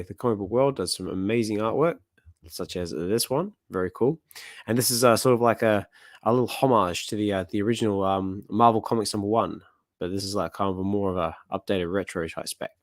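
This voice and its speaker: A man with a slightly hoarse voice hosting a comic reading stream, chatting casually and rambling with lots of fillers. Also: fairly low pitch, brisk, no room sound, clean studio sound. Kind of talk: casual chat.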